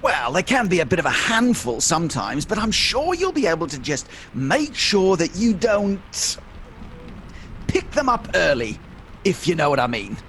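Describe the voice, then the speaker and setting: A man's voice: high-pitched, huge pitch swings, moderate pace, a bit bright, a little hiss or hum, full character voice. A man acting a mild, sheepish husband and father in a comic radio play, hesitant and bantering. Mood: coaxing. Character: hapless, mild-mannered husband and father, freshly dead.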